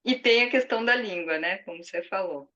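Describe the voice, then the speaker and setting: A woman in an academic discussion group, calmly building on a colleague's point over a call. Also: medium pitch, a little fast, highs cut off. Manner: calm, building on another's point. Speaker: discussion participant.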